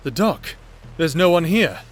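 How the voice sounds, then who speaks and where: A man playing a terse, wary prisoner leading an escape in an audio drama, brisk and no-nonsense, with music behind. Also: on the high side, lively intonation, a little hiss or hum.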